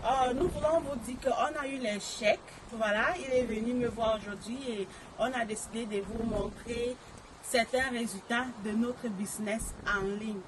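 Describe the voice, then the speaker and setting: A woman's voice: on the high side, moderate pace. A woman mentor greeting viewers in an upbeat, chatty way. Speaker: online business mentor. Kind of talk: casual video greeting. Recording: background noise.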